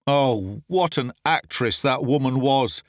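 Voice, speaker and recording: A man reading a classic story aloud as scripted narration, in a measured, earnest way. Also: medium pitch, no echo, studio quality. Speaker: audiobook reader.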